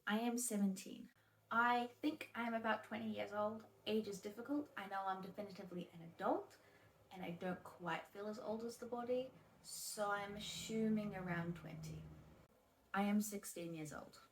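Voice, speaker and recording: Soft-spoken woman in her twenties. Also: mid-range voice, moderate pace, slight background noise, poor audio. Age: 20s. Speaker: video creator. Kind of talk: interview-style self-introductions.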